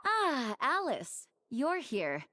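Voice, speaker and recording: A woman's voice: high voice, huge pitch swings, very slow, bright, forceful tone, slight background noise, exaggerated voice. A woman playing a formal, apologetic female knight of the guard in a video game. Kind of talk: game voice acting.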